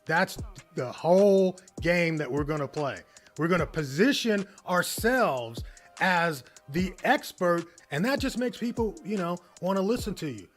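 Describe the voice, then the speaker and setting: An American man, a business coach, giving an energetic motivational talk and often checking in with "right?". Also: high-pitched, a little slow, faint music behind. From United States. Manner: energetic, tags lines with "right?". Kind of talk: motivational teaching monologue.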